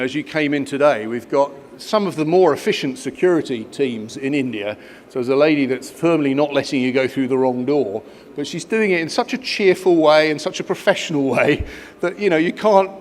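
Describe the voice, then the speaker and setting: A man's voice: fairly high pitch, a little hiss or hum. A man in his fifties, raised in Kenya, giving a conference speech with many fillers and restarts. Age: 50s. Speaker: guest speaker at an education conference.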